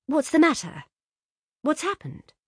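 A woman's voice: mid-range voice, sing-song, a little fast, husky, dry recording, studio quality, pitched above the performer's own voice. A woman voicing a sensitive, well-bred young woman who speaks earnestly. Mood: alarmed. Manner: earnest, urgent questions.

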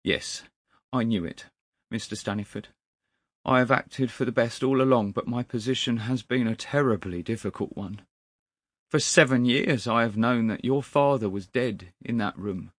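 A man's voice: mid-range voice, moderate pace, dry recording, voice pushed up, lightly acted. A man voicing an anguished, loyal male clerk in a dramatic storybook reading, deferential and pleading. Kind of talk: dramatic storybook character acting.

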